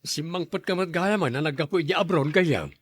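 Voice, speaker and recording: A man's voice: on the high side, brisk, slightly rough, no echo. Man acting grumbling henpecked husband, close dry sound. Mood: sociable. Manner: grumbling, protesting. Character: henpecked hard-drinking husband, grumbling and defensive. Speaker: voice actor in a drama. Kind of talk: Ilocano audio drama acting.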